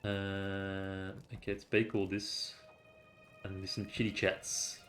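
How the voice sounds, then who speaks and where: A man's voice: deep voice, completely flat delivery, slow, a bit bright, faint music behind. A man, a native Vietnamese speaker, chatting casually and rambling through gameplay commentary on stream.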